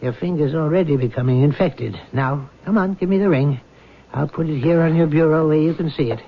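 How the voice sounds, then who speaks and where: An actor playing a calm, probing middle-aged family doctor in a radio drama, speaking in a measured, questioning and firm way. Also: male voice, on the high side, close to an everyday voice. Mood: stern, insistent. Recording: muffled, noisy old radio broadcast.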